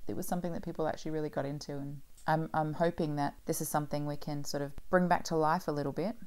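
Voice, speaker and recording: A woman's voice: low voice, fairly even intonation, quick pace, a little mellow. A woman co-hosting a podcast in casual discussion, arguing her case point by point. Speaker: podcast host.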